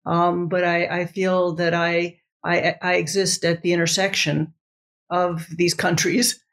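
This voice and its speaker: A woman whose first language is Italian, a professor speaking as a podcast guest, enthusiastic and halting with many restarts. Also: lowish voice, little pitch movement. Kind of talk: podcast interview.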